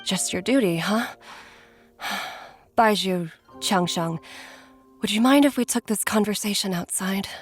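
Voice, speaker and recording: A woman's voice: mid-range voice, measured pace, long pauses, breathy edge, faint music behind. A woman playing an earnest, caring and grave healer in dramatic video game dialogue. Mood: probing, serious.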